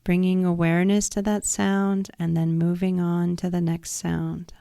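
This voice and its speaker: A woman guiding a yoga nidra relaxation practice in a calm, soothing, instructive manner. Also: lowish voice, measured pace, gravelly, dry recording, clean studio sound. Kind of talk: guided meditation.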